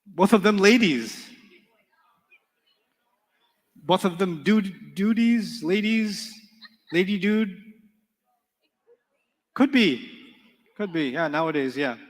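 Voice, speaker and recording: A man whose first language is Urdu, teaching an Arabic class in a relaxed, joking manner and quizzing his students. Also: high-pitched, slow, pauses often, long reverb tail. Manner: playful, joking, quizzes students in call-and-response. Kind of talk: live classroom lecture with storytelling.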